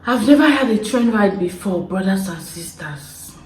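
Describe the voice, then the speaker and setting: A young woman from Nigeria with an Igbo accent, casually telling stories to camera in an expressive, chatty way. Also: medium pitch, moderate pace, roomy sound, low-quality recording. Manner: chatty, expressive, laughing storyteller. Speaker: YouTuber. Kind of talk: casual confessional chat to camera.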